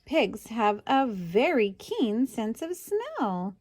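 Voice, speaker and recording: A woman reads a picture book to children in a highish, very lively voice. She takes her time and speaks expressively, adding little asides to her listeners, with a dry, close sound. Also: slow, dry recording. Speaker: storybook reader.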